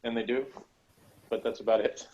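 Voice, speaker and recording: A man's voice: mid-range voice, fairly even intonation, moderate pace, a little mellow, a little hiss or hum. A man chatting casually as a panelist in a group review of a TV show, with a sarcastic, joking manner. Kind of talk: casual group discussion of a TV episode. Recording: dull lo-fi recording.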